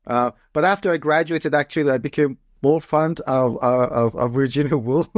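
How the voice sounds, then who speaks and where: A man's voice: mid-range voice, normal speed, no echo, clean studio sound. Hesitant man. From Iran. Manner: friendly, hesitant, many restarts. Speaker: podcast host. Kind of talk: interview conversation.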